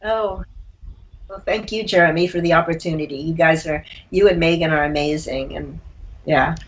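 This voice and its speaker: A woman's voice: low-pitched, steady tone, moderate pace, slight background noise, low-quality recording. Reflective woman in a casual interview. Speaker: interview guest, short story writer.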